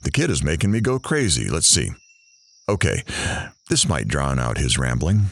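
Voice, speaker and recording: A man's voice: a bass voice, sing-song, moderate pace, vocal fry, a little hiss or hum, full character voice. A man voicing an impatient, bored onlooker who grumbles sardonic asides in an audio drama. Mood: bored, exasperated. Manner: sardonic, complaining.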